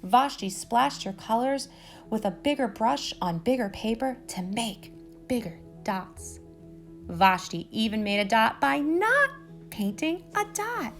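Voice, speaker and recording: A woman reading a children's storybook aloud with expressive, deliberate phrasing. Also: fairly high pitch, faint music behind. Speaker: storybook reader.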